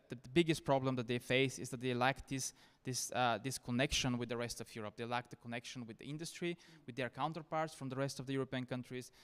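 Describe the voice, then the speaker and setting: A man's voice: medium pitch, clean tone. Man keynoting in an echoey hall. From Bulgaria. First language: Bulgarian. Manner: earnest, persuasive, with repeated restarts. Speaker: keynote speaker. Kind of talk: conference presentation. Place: conference hall. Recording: reverberant conference hall.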